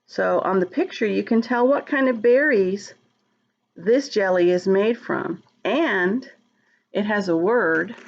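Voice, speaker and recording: A woman's voice: medium pitch, slow, creaky, lo-fi sound. A woman reading a storybook aloud to young children, talking to them directly and expressively, drawing out words. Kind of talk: read-aloud to young children, chatting and asking questions.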